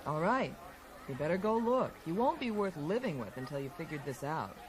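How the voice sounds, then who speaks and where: Caring woman, wry, gently nagging, natural radio drama acting. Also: low-pitched, very animated, moderate pace. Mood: wry, concerned.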